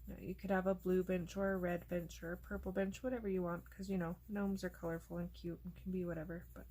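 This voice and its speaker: A woman host casually narrating a coloring tutorial, chatty and relaxed, thinking aloud as she works, and losing her voice. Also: lowish voice, fast.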